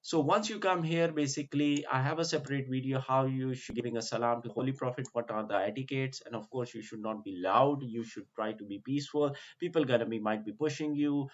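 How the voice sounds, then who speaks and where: A man giving a casual screen-share tour, explaining slowly with many fillers and restarts. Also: mid-range voice, muffled recording. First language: not Arabic. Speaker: vlogger giving a virtual tour.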